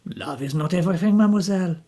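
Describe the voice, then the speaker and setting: A woman reading the part of an older male detective, calm and formal, in a slow, deliberate husky voice. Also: on the low side, pitched above the performer's own voice, close to an everyday voice. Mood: grave.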